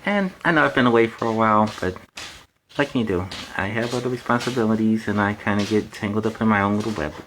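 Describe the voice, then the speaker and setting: A man's voice: lowish voice, fast, a bit bright, a little hiss or hum, rough recording. Chatty man reading a storybook aloud. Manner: chatty, with playful asides between the read passages. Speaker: storyteller in a Spider-Man persona. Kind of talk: casual read-aloud of a children's storybook.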